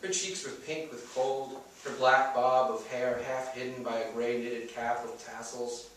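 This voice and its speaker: A man's voice: mid-range voice, level tone, a little slow, fairly bright tone. A man reading first-person fiction aloud as its author at a live bookstore event. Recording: echoey room, distant mic, faint noise.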